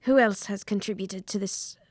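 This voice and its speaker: A woman acting a curt, suspicious wealthy gentleman in an amateur audio drama, guarded in manner. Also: mid-range voice, moderate pace, no echo, studio quality, lightly acted.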